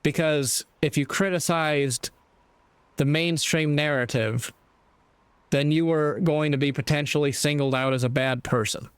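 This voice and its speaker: An American man giving a reflective opinion monologue in a measured, deliberate way, with short phrases and pauses. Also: on the high side, fairly even intonation, clean tone, dry recording. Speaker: commentator.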